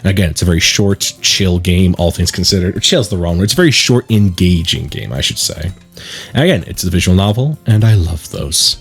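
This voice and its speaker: Low-voiced, animated man hosting a playthrough. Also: fairly quick, husky, faint music behind. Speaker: let's play host. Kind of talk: casual gaming commentary and reading aloud.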